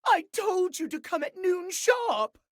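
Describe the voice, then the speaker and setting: A fussy stuck-up clock character for a game, talks slow n super proper, very dry close sound. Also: male voice, very high-pitched, very animated, dry recording, full character voice. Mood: outraged. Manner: prim, haughty, precise elocution. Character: pompous, fussy male clock obsessed with punctuality. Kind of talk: theatrical video game character acting.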